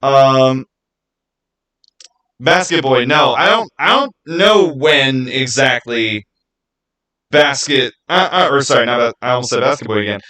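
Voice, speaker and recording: A man with a bright, forward voice hosts a casual livestream. He rambles and thinks aloud, speaking to viewers by name in a chatty way. His pitch sits in an ordinary middle range. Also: lively intonation, measured pace, long pauses. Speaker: livestream host. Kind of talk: casual livestream chat, answering viewer comments.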